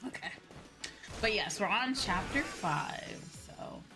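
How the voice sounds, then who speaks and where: A woman streamer talks to her viewers in a casual, chatty way while playing a game. Her pitch is mid-range for a woman, and she pokes fun at herself. Music plays clearly behind her. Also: expressive, slow pace. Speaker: streamer, virtual YouTuber. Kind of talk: casual livestream gaming chat.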